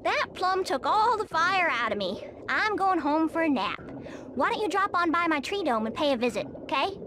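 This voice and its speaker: A woman's voice: very high voice, big pitch swings, moderate pace, noisy background. A woman voicing a feisty, sporty squirrel in a cartoon video game, with a folksy, brash Texan drawl. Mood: friendly, folksy. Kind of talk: animated video game voice acting.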